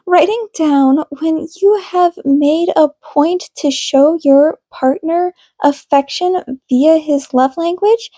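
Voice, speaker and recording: A woman voicing a composed, professional female couples therapist in an audiobook, speaking in a formal, deliberate, probing way. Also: high-pitched, dark tone, no room sound, pitched above the performer's own voice. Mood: instructive. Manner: formal, deliberate, clinical phrasing. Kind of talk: audiobook character dialogue.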